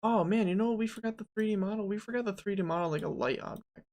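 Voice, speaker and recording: A young streamer in their twenties with a gravelly, fry-heavy voice at a middle pitch. They narrate their own work in a loose, joking way, with plenty of ums and asides. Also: quick pace, darkish tone. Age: 20s. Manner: joking, rambling, self-talk with fillers. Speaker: game developer streaming. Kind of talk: casual live dev stream, thinking aloud.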